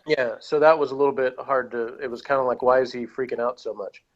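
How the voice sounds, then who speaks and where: A man's voice: mid-range voice, fairly even intonation, fast, lo-fi sound. A man chatting casually as he reviews a TV show, with a blunt, opinionated manner. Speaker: podcast reviewer.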